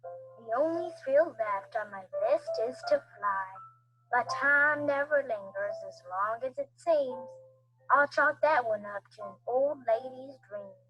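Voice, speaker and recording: A woman's voice: high-pitched, slow pace. A woman reading a rhyming children's story as a scripted storybook narrator. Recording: muffled playback with music underneath.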